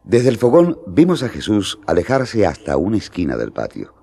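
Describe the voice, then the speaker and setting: An actor narrating as a male disciple, telling the story calmly in a radio drama. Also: on the low side, normal speed, gravelly, a little hiss or hum, exaggerated voice. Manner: calm storytelling.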